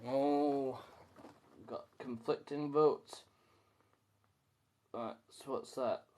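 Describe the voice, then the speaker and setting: A man's voice: mid-range voice, fairly even intonation, drawn-out words, warm tone. Man hosting an interactive storytime. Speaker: storytime host and reader. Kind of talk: live storybook reading with chatty asides.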